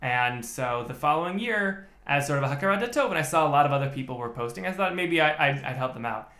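Guy in his 30s, talks real quick, lots of restarts, echoey room. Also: on the high side, lively intonation, bright, forceful tone. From United States. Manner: fast, methodical storyteller with frequent restarts. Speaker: podcast guest. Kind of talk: casual interview chat.

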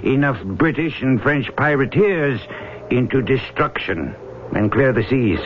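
An actor playing a wealthy, driven ship owner in a radio drama, blunt and wry, talking briskly and emphatically. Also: male voice, medium pitch, expressive, close to an everyday voice. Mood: emphatic storytelling. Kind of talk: old-time radio drama acting. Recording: muffled, noisy old radio recording.

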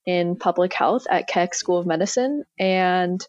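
A woman's voice: on the low side, a little slow, a little mellow, no room sound, muffled recording. A young woman student from Oregon, a guest on a podcast, speaking in an eager, upbeat way. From Oregon, USA. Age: young adult. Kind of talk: podcast interview.

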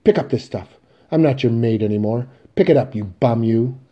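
A man's voice: mid-range voice, normal speed, breathy edge, highs cut off, voice pushed up, natural voice. A man voicing a furious, wounded mother who scolds her grown sons in an emphatic, commanding way.

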